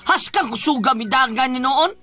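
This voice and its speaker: A man's voice: extremely high pitch, expressive, normal speed, sharp tone, faint music behind, heavily acted. A man playing a distressed, suspicious husband in a melodramatic comic radio drama, exclaiming and complaining with a Cebuano accent. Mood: alarmed.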